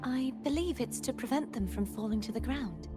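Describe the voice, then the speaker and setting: Actress as a high-voiced, polite, pensive game heroine. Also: female voice, expressive, natural voice. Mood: calm, explanatory. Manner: formal, measured, wistful phrasing. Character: polite, pensive young woman guide, gentle and formal. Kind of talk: video game voice acting. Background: game music.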